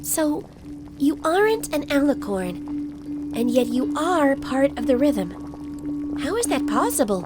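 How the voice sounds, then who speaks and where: Young female pony student voiced by a woman: high, animated, earnest and questioning, music behind. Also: slow, long pauses, exaggerated voice. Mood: puzzled. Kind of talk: dramatic fan fiction audio reading.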